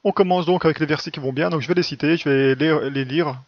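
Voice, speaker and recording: Higher-pitched, gravelly man explaining informally. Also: quick pace, no echo. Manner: colloquial, didactic, frequent "hein" and "quoi" tags. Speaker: religious video teacher. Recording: dull, lo-fi voice recording.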